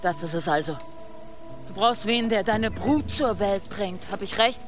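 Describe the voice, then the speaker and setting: An actress playing a strong, commanding, earthy village leader in a German radio drama. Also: female voice, medium pitch, unhurried, over music, close to an everyday voice. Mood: defiant. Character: defiant, strong, blunt village headwoman and warrior.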